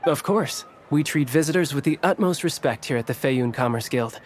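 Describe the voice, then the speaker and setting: A man's voice: mid-range voice, moderate pace, a little hiss or hum, close to an everyday voice. A man playing a polite, studious young nobleman in a video game, speaking in a courtly, formal and articulate way. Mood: courteous.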